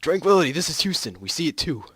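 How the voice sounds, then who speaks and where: A man playing a brisk, anxious mission control communicator, calling out clipped radio phrases and urgent questions in an amateur story reading. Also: on the high side, sing-song, fairly bright tone, slightly rough, no echo, pitched above the performer's own voice, heavily acted.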